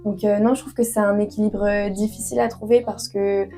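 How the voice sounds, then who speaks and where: A woman's voice: mid-range voice, level tone, brisk, mellow, faint music behind. A young woman in her twenties from France, answering interview questions earnestly with many hesitations and fillers. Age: 20s. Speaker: author guest.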